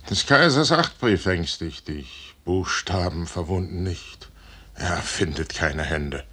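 An actor playing a proud, weary, fatalistic aging general in a grand, measured 1950s radio drama style. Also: male voice, low-pitched, expressive, sharp tone, slightly rough, full character voice. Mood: dismissive. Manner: measured, grand, dismissive, declaiming. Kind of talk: 1950s German radio drama stage acting. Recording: noisy 1950s radio recording.

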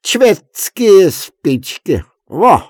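A man voicing a drunk, quarrelsome peasant with a high, screeching voice who yells and boasts defensively. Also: very animated, extremely slow, slightly rough, dry recording, studio quality, heavily acted. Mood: drunkenly boastful.

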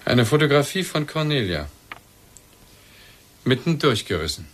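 An actor playing a stern, suspicious bereaved husband in a German radio drama, insistent and clipped, in standard German. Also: male voice, medium pitch, very animated, unhurried, a bit bright. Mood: accusing.

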